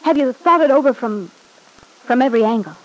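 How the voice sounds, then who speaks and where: An actress playing an anxious young wife in an old-time radio drama, speaking hesitantly and pleadingly. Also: female voice, sits high, expressive, a little fast, rough recording.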